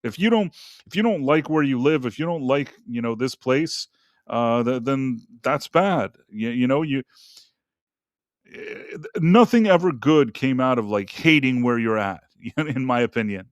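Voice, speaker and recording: A man's voice: medium pitch, animated, a little slow, no room sound, clean studio sound. A man co-hosting a casual live stream, rambling and trailing off into restarts as he reads viewer messages. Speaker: co-host.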